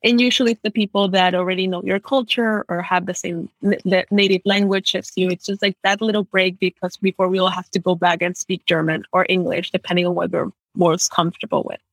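Young Ecuadorian-raised woman guest, chatty and animated, dry close recording. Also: lowish voice, a little fast, few pauses, no room sound, rough recording. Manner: chatty, uses lots of "like", animated storytelling. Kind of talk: casual interview conversation.

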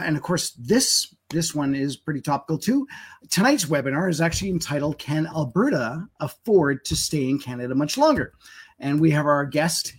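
A man's voice: a little high, breathy edge. A man hosting a live webinar interview, chatty and hesitant, with frequent fillers. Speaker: webinar host.

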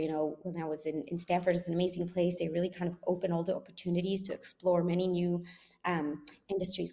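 A woman from Israel, a startup chief executive, answering interview questions thoughtfully with many pauses and self-corrections. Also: on the low side, vocal fry, mellow, big-room sound. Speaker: startup CEO guest.

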